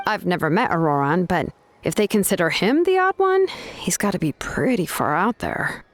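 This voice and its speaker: A woman's voice: on the low side, very animated, normal speed. A woman playing a frank, easygoing young tribeswoman in a fantasy video game, talking casually and conversationally. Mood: casual, musing.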